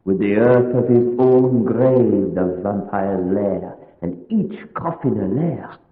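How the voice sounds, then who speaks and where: A learned, resolute male vampire hunter from a vintage radio play. His low voice delivers formal, archaic phrases with solemn weight. It rings through a long hall-like reverb on a narrow, muffled recording. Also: animated, slow. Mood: grave warning. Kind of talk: old-time radio drama acting.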